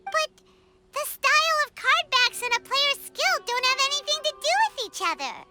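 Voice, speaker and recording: An extremely high, childlike fairy companion from a video game. She is bubbly and cheeky, with lively swings of pitch and clear music behind her. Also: moderate pace. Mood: indignant, cheeky. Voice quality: squeaky, childlike. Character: tiny chirpy floating fairy girl companion, cheeky. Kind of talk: animated video game dub acting.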